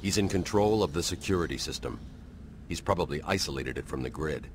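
An extremely low-voiced game vigilante, grim and commanding. He speaks in short, stern, controlled statements, with clear noise behind him. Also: animated, a little fast. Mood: calm, certain.

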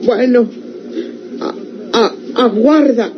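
Ashamed, devoted young woman, slow halting speech, Mexican accent, radionovela melodrama, muffled recording with clear noise. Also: on the high side. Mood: pleading. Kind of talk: golden-age radionovela melodrama acting.

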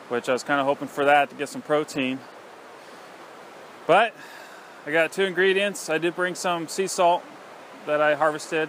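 A man in his thirties speaks casually to camera in a mid-pitched voice. He is low-key and plain-spoken, like someone hosting an outdoor vlog. Clear ambient noise sits behind him, recorded outdoors from a few feet away. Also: a bit bright. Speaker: host of a foraging vlog. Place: outdoors on a misty farm by a river.

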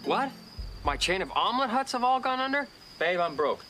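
An actor playing a fed-up, cynical schemer in a film comedy, talking persuasively and sardonically. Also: male voice, mid-range voice, sing-song, quick pace, over music, lightly acted. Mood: fired up, persuasive.

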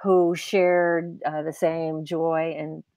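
A woman's voice: low voice, flat delivery, slow pace, a little mellow, dry recording. An older woman, an author being interviewed, talking reflectively and explaining things in a casual conversation on a video call. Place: indoors at home on a video call. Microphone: wired earbuds on a video call.

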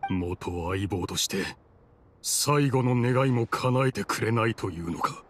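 A man's voice: fairly low pitch, animated, slow, slight background noise. An actor playing a weary, remorseful old man in a Japanese game, speaking in a reflective, resigned manner. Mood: bitter. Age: older.

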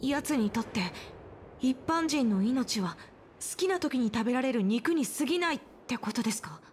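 High, earnest boyish young subordinate. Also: female voice, lively intonation, moderate pace, a little hiss or hum. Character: earnest young male subordinate, eager and questioning. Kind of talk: Japanese video game voice acting.

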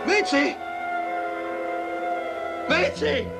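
A man's voice: very high-pitched, lively intonation, extremely slow, creaky, exaggerated voice. A man playing a wounded, armed man in a dramatic film dub, calling out curt, strained commands. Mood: urgent. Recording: loud film music underneath.

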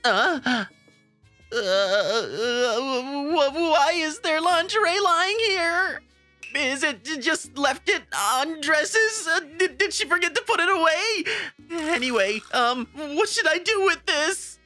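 A woman's voice: sits high, lively intonation, takes it slowly, sharp tone, full character voice. A woman voicing a timid, kindhearted teenage boy who narrates his story and stammers when flustered, in a manga comic dub. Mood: flustered panic. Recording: background music under the voice.

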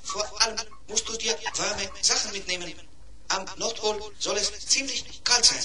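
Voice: medium pitch, moderate pace, lightly acted. An actor playing a polite, earnest schoolboy robot in a 1970s German children's radio play, speaking precisely. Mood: eager.

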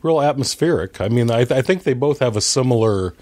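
A man talking casually as a podcast guest, explaining things in a halting way and correcting himself as he goes. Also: medium pitch, expressive, darkish tone. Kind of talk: casual conversational movie discussion, retelling a story.

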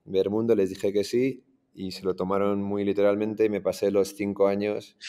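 A man in his forties with a Madrid Spanish accent tells his life story on a video call. His voice sits very low and stays flat and mellow. He talks in a relaxed way, with many restarts and fillers. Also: normal speed, smooth. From Iran, raised in Madrid. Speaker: startup founder guest. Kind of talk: casual interview storytelling. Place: in front of a green screen, indoors.

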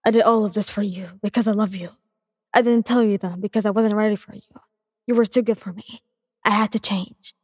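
Woman doing a pleading young male hero, breathy, emotional storybook read. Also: mid-range voice, steady tone, brisk, a little mellow, no room sound, in a large hall, natural voice.

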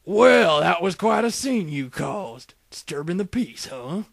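A man acting high, swooping Southern cartoon townsmen. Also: very animated, a little slow, creaky, dry recording, clean studio sound, exaggerated voice. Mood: mocking. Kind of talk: parody cartoon dub acting.

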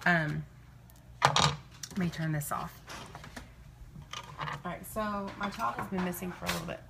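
A woman's voice: fairly low pitch, a little slow, gravelly, very noisy. A woman in her thirties chatting casually and digressively to live viewers as she records in her home kitchen. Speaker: live-streaming vlogger and meal-prep host.

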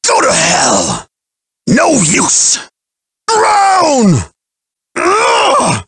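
A soul-hungry undead pirate villain from a fighting game. His voice is gravelly and sharp, swinging wildly in pitch. He delivers every cruel taunt with theatrical menace. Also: wildly swooping pitch, extremely slow, dry recording, clean studio sound, exaggerated voice. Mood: furious, commanding. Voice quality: harsh, raspy, gravelly.

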